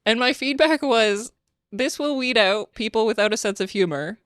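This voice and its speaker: A woman's voice: on the high side, moderate pace, a bit bright, dry recording, studio quality. A woman with a Canadian accent hosting a podcast, chatting casually and acting out quotes, laughing often. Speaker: podcast host. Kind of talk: casual chat.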